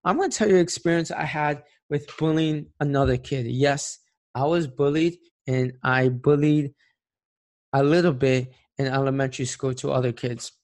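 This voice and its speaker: An earnest man in his late twenties talks through his own experiences in a plain, reflective way. His voice sits at an ordinary, mid-range pitch for a man, unhurried and sincere as he thinks aloud. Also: fairly even intonation, a little mellow. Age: late 20s. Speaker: speaker sharing personal experience. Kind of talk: personal monologue.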